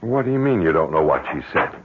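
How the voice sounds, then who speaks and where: A low, gravelly middle-aged father in an old radio play, fretting and pressing his point. He halts and repeats words, his pitch swinging about, on a thin, phone-like recording. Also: very animated, full character voice. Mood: anxious, pressing. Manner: halting, repeats and stumbles over words. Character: worried, fretful middle-aged father, insistent and blunt. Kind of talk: 1970s radio drama acting. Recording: thin, band-limited 1970s radio recording.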